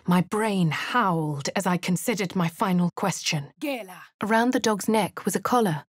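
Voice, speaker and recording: An actress with a posh English accent voicing a plucky female adventurer hero for a video game, crisp and well-spoken, close to a studio mic. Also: mid-range voice, moderate pace, no echo, close to an everyday voice. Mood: wry. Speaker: player character.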